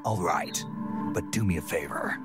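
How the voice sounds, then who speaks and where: An actor playing a gruff, stoic special-forces soldier in a video game, speaking tersely. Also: male voice, deep voice, moderate pace, loud music behind the voice, natural voice. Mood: stoic, questioning. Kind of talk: dramatic game cutscene acting.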